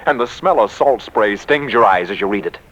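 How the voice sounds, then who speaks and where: An actor playing an excitable, bombastic American publishing executive in a 1940s radio comedy, with theatrical, grandiose emphasis. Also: male voice, fairly low pitch, fairly quick. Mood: excited, rhapsodic. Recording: muffled 1940s radio recording.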